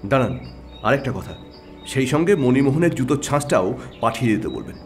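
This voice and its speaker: A man's voice: mid-range voice, big pitch swings, fairly quick, faint music behind, voice pushed down, natural voice. A man voicing a shrewd, decisive detective in an audio story, with a stern, assertive manner. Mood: confident, explaining. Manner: assertive, explanatory.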